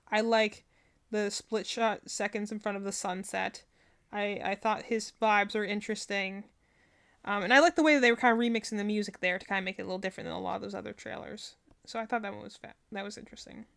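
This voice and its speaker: A woman's voice: medium pitch, quick pace, vocal fry, fairly bright tone. A woman streamer giving casual, rambling reaction commentary on video game trailers, thinking aloud as she goes.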